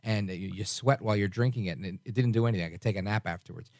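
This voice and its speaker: A man's voice: lowish voice, rapid-fire, a little mellow, no room sound, clean studio sound. A man in his forties hosting late-night talk radio, chatting casually with many restarts. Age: 40s. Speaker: radio talk show host.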